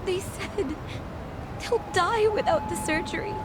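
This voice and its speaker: High-voiced, anxious young woman. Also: measured pace, heavy background noise. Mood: distressed. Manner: hesitant, pleading. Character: timid, anxious daughter of an ailing father. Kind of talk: English video game dub acting.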